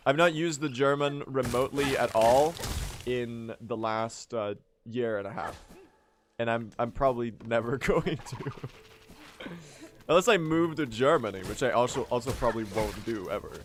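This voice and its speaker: A man's voice: medium pitch, moderate pace. Chatty rambling man streaming a game. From Sweden. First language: Swedish. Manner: rambling, self-correcting, chatty, mild swearing. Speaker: speedrunning streamer. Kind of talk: casual livestream chat while playing.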